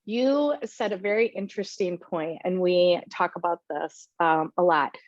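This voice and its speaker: A chatty woman hosting a podcast, winding through her questions with plenty of "um"s and "you know"s. Her voice sits at a middle pitch. The audio is dry and dull, like a remote call. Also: a little slow, no room sound. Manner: chatty, rambling questions with fillers. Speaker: podcast host. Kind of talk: casual interview chat.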